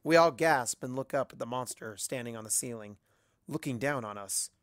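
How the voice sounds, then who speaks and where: Expressive male story reader, dry sound. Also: medium pitch, normal speed, no room sound. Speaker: amateur audiobook reader. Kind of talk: expressive scripted story narration.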